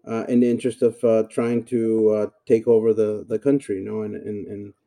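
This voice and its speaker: Very dark-voiced man in his forties. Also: fairly low pitch, flat delivery, gravelly. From El Salvador. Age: 40s. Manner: halting, thoughtful, polite. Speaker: podcast guest. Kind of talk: reflective conversational interview.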